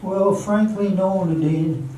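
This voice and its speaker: Man playing loyal earnest friend, high voice, echoey. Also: slow pace, warm tone, a little hiss or hum. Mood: reproachful, uneasy. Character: loyal, earnest male friend pleading as peacemaker. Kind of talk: amateur radio drama acting. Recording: very echoey hall, distant mic, music behind.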